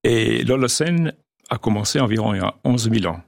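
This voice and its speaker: A man's voice: lowish voice, expressive, a little fast, gravelly, no room sound, clean studio sound. An older man, a geologist and oceanographer, explains his research in a radio interview, hesitant with many restarts.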